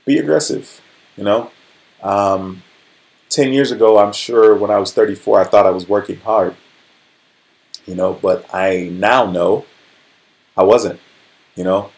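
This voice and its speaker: A man's voice: lowish voice, measured pace, pauses often, a little mellow, rough recording. A man in his forties hosting a livestream, chatting casually and conversationally, often checking in with his listeners. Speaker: livestream host. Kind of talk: casual motivational livestream chat.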